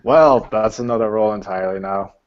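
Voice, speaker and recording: A man's voice: fairly low pitch, brisk, highs cut off. A man acting as game master, narrating a tabletop roleplaying game.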